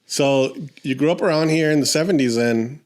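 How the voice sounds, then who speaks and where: A man's voice: fairly high pitch, normal speed, darkish tone. A man, hosting a casual conversational interview in an informal way, swearing now and then. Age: 50s. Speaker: podcast host. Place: decorated home garage.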